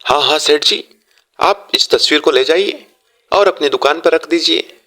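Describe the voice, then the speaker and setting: A man's voice: fairly high pitch, unhurried, pushed and bright. A man voicing a humble, eager painter in a cartoon story, speaking politely and deferentially.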